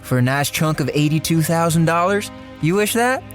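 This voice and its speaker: An actor playing a cocky, bossy small-time crook with a folksy, sardonic, slangy way of talking in a radio crime drama. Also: male voice, fairly high pitch, animated, normal speed, soft background music, close to an everyday voice. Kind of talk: radio crime drama acting, first-person narration.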